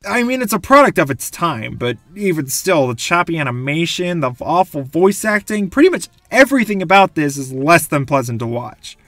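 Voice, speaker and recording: A young man with a high voice that swings up and down eagerly. He reviews video games in a gushing, scripted style. The sound is close and dry, with faint music behind him. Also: moderate pace, hardly any pauses, no echo. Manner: gushing, animated. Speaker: video game reviewer, host. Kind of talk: enthusiastic scripted commentary.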